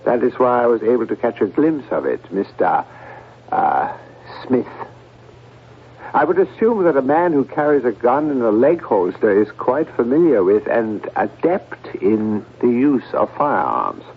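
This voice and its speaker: An actor playing a skeptical psychiatrist in a radio drama, questioning in a pointed, measured manner. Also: male voice, medium pitch, sing-song, slight background noise. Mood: skeptical, firm. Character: probing, skeptical male psychiatrist. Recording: muffled old radio broadcast.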